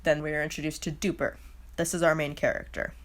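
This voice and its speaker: A woman's voice: sits low, little pitch movement, fast. A woman narrating a casual scripted recap as a video host, with a wry, openly baffled manner.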